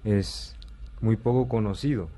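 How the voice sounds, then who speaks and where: Mellow low-voiced man explaining. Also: a little slow. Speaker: orchestral viola player, interview guest. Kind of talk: explanatory interview talk.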